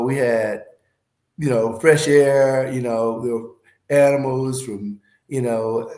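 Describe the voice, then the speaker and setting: Brief: Southern man in his fifties, interview guest, easygoing and filler-heavy, mid pitch, roomy echo. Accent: Southern US with Midwestern speech. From Alabama, USA. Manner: relaxed, frequent "you know" fillers. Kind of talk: casual interview talk.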